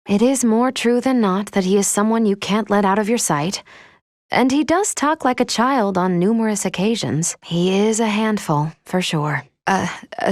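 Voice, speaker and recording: An actress playing a polite, composed female android from a video game, gently caring like an elder sister, with formal, measured, precise phrasing. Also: medium pitch, no room sound, clean studio sound, lightly acted. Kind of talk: video game character acting.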